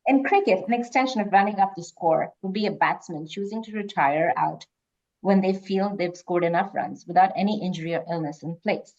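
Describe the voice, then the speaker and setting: A woman's voice: on the low side, brisk, darkish tone. A woman hosting a quiz, reading questions aloud and moderating informally. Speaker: quiz host. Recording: dull lo-fi online call audio.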